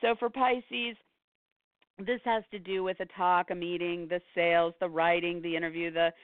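Hoarse woman, astrologer host, quick listing with ums and small laughs, tinny phone-like sound, dry. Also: fairly low pitch, little pitch movement, no room sound. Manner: rapid listing, with fillers and short laughs. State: hoarse, losing her voice. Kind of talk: weekly horoscope talk.

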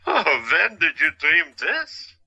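An actor playing a playful, wryly melancholy male asylum patient in an audio drama, teasing with halting pauses. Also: on the high side, huge pitch swings, bright, forceful tone, husky, lo-fi sound, heavily acted.

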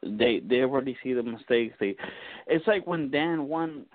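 A man's voice: mid-range voice, expressive, moderate pace. Guy calling in, super eager, keeps tripping over his words, phone-line sound. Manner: eager, stumbles and repeats words. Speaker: caller. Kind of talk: call-in chat.

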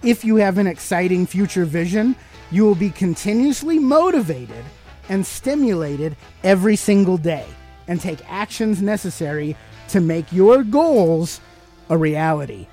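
A man's voice: high voice, big pitch swings, unhurried, warm tone, faint music behind. A middle-aged American man hosting a podcast, giving an emphatic, preachy motivational talk full of rhetorical questions. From United States. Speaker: podcast host.

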